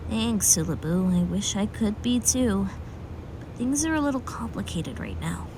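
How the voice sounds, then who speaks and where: A woman's voice: medium pitch, big pitch swings, moderate pace, background noise. A woman playing a conflicted young heroine, speaking hesitantly and with feeling in an amateur audio drama. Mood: sullen.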